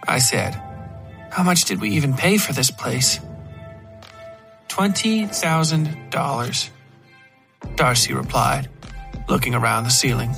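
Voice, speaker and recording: A man's voice: mid-range voice, gravelly, fairly bright tone. Male horror story narrator over music. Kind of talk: first-person horror fiction narration.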